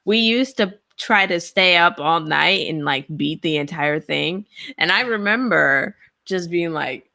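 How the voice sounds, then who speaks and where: Young woman, bright voice, unhurried. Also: fairly low pitch, lively intonation, vocal fry, dry recording. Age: young adult. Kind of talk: casual chat. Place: plain room at home. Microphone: headset.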